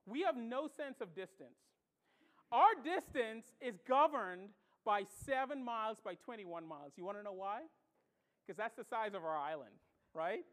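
A woman from the Bahamas preaching a sermon, emphatic and animated, often firing rhetorical questions at her congregation. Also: high-pitched.

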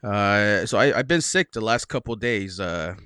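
A man co-hosting a podcast in casual chat, his voice a bit raspy and stuffy from a sore throat. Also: lowish voice, measured pace, no room sound, studio quality. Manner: relaxed, laid-back, many fillers. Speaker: podcast co-host.

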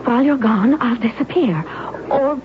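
An actress playing an anguished but resolute self-sacrificing wife in an old-time radio drama, with earnest, deliberate, dramatic phrasing. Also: female voice, a little high, noisy background, close to an everyday voice. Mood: urgent pleading. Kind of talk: old-time radio drama acting and first-person narration. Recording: muffled old radio recording, music behind.

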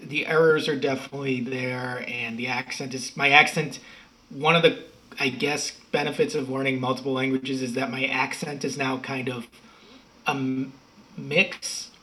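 A man's voice: mid-range voice, little pitch movement, normal speed, pushed and bright, poor audio. An American man, middle-aged, telling stories in a rambling, self-correcting way as an interview guest. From United States. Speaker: podcast guest. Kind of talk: casual interview talk.

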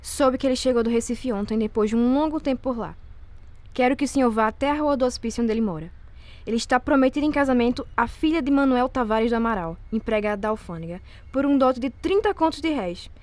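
A woman playing a rich, shrewd young Brazilian lady in an audio drama, measured and commanding. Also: on the high side, darkish tone, no room sound, natural voice. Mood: cold, commanding. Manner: cold, measured, imperious. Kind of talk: audio drama acting of a period novel.

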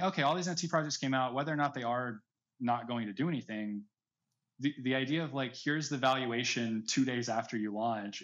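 Male interview guest, medium pitch, conversational and roundabout delivery, slightly lo-fi recording. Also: fairly bright tone. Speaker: podcast guest. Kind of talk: casual conversational interview chat. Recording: slightly dull, lo-fi audio.

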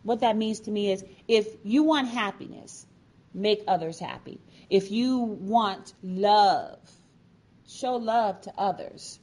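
Slow, mellow woman telling her story. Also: medium pitch. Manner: measured, deliberate, earnest phrasing with pauses. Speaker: featured storyteller, sign language educator and advocate. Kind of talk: personal inspirational storytelling, prepared speech.

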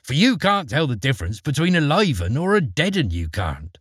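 A sharp-tongued giantess wife from a storybook put on by a man, her voice pushed well above his own and rattling along quickly in a rustic, nagging way. A faint husky grain sits under it. The recording is close and dry. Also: on the high side, sing-song, no room sound, exaggerated voice. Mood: scolding. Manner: rustic, nagging country speech.

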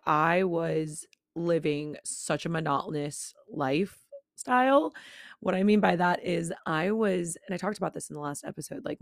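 A woman's voice: lowish voice, gravelly, no room sound, clean studio sound. A woman in her late twenties hosting her own podcast, talking candidly and casually with little laughs, her voice still not fully recovered. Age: 20s. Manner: chatty, candid, laughs between words. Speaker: podcast host. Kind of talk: casual solo podcast chat. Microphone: own studio microphone, close.

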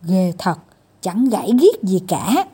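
A patient little storybook doctor, played by a woman, coaxing and gently scolding. The delivery is animated and soft-edged, with a mellow, slightly husky tone. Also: mid-range voice, slow pace, full character voice. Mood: coaxing, reassuring. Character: tiny male doctor, patient, coaxing, mildly exasperated.

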